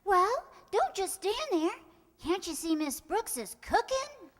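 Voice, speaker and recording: A woman acting in a radio sitcom as an excitable teenage girl, the principal's daughter. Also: very high-pitched, slow, heavily acted. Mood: eager. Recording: echoey hall, long reverb.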